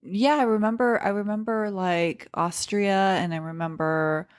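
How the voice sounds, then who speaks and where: A woman's voice: mid-range voice, steady tone, a little slow, clear, resonant tone. A woman in her thirties, born in Iran and raised in Seattle, chatting casually as an interview guest, telling stories with fillers and restarts. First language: Persian. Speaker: stand-up comedian, podcast guest.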